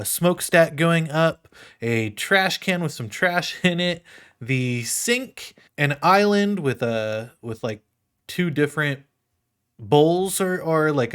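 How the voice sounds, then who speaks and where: A man's voice: fairly high pitch, clear, resonant tone. A man giving casual gameplay commentary as a video host, playful and chatty, often thinking aloud. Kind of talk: casual gameplay commentary over a block-building game.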